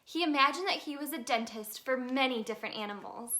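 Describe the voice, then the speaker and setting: A woman reading a children's picture book aloud as a storyteller, in an expressive, sing-song manner aimed at young children. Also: sits high, fairly quick. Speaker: storyteller in character as the Tooth Fairy.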